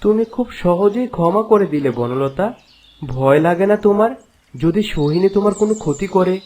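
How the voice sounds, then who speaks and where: A man's voice: high voice, lively intonation, normal speed, a little mellow. A man voicing an earnest, protective young prince in a fairy-tale cartoon.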